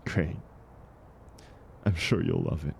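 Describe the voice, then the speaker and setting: A man acting a kind, gentle stranger in an intimate audio roleplay, speaking reassuringly and unhurriedly to the listener. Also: rumbling low, creaky, a little hiss or hum, heavily acted. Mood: caring, encouraging. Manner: soft-spoken, reassuring, unhurried. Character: kind, gentle man, once homeless, sharing dinner with a stranger.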